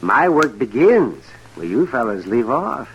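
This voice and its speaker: A man acting a folksy, wry older frontier town doctor in a 1950s radio western, with animated talk. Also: medium pitch, measured pace, lo-fi sound. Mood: wry, easygoing. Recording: old-time radio broadcast recording.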